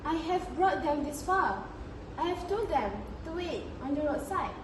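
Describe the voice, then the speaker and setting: High-voiced Martha, spirited granddaughter, acted by a woman. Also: lively intonation, measured pace. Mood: determined. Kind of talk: amateur stage-play acting. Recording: distant, echoey, noisy room.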